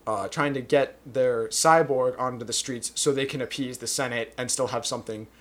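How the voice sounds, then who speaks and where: A man's voice: mid-range voice, fairly even intonation, moderate pace. A man co-hosting a film podcast, summarizing a plot in a rambling, hedging way. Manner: rambling, hedges with "kind of". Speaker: podcast co-host.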